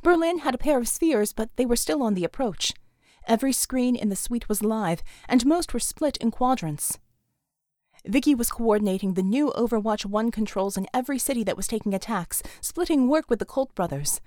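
A woman's voice: a little high, expressive, moderate pace, dark tone, dry recording, clean studio sound. A woman reading dramatic action fiction as a scripted audiobook narration.

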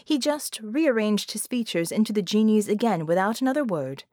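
A female audiobook narrator reads a script at a medium pitch. The recording is close and very dry. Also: expressive, moderate pace, warm tone, no echo.

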